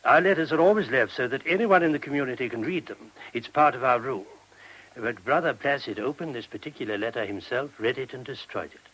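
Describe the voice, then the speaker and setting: An actor playing a mild, obliging monk priest with a husky voice in a radio play. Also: male voice, mid-range voice, fast, fairly bright tone, poor audio. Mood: careful, explanatory. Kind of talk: British radio play acting. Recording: old radio drama recording.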